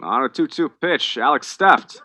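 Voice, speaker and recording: An American man calling live baseball play-by-play, conversational between pitches and more animated on the action. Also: measured pace. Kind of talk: live sports commentary.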